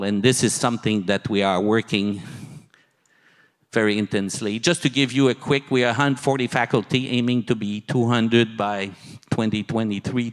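A man's voice: medium pitch, a bit bright. Older guy doing a keynote on a handheld mic, stops and starts a lot, big echoey auditorium. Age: older, 60s. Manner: halting, pausing often mid-sentence. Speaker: university dean giving a keynote. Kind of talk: conference presentation, speaking from slides. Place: large auditorium stage. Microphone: handheld microphone. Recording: long hall reverb from PA.

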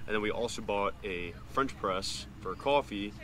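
A man's voice: fairly low pitch, moderate pace. A man in his twenties chatting casually as a vlog host, filming himself outdoors on a camera held nearby. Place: outdoors in an open grassy campground. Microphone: action camera at arm's length or nearby. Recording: clear outdoor ambient noise.

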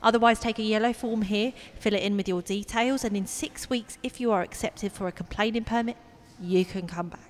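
A woman's voice: mid-range voice, fairly even intonation, fairly quick, natural voice. Woman acting an officious receptionist, reverberant hall. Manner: bureaucratic, condescending, falsely polite. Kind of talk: comedy radio play acting.

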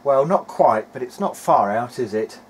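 A man's voice: medium pitch, lively intonation, normal speed, a little hiss or hum. A man giving a casual technical narration of an electronics repair as he works, thinking aloud a little hesitantly. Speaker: hobbyist presenter of an electronics repair video diary.

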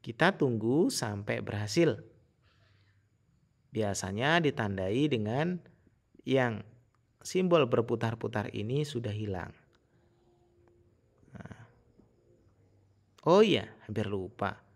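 A man giving a casual, informal software tutorial in an explanatory way. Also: medium pitch, expressive, slow pace, vocal fry, pauses often. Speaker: tutorial host.

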